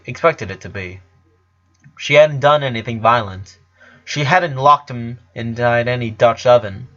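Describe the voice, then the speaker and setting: Man, story narrator, scripted reading, medium pitch, slightly dull lo-fi recording. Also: a bit bright.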